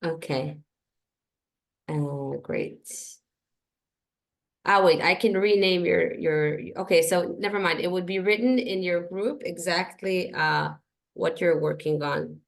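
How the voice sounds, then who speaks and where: Gravelly-voiced woman moderating. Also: on the low side. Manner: practical, quick switching into Hebrew. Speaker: online session moderator. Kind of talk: casual moderation. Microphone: video call.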